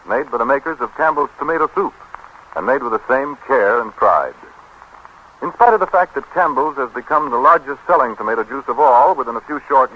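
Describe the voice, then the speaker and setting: An American man announcing on 1930s radio, with a polished, enthusiastic salesman's delivery. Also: on the high side. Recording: dull, hissy 1930s radio broadcast.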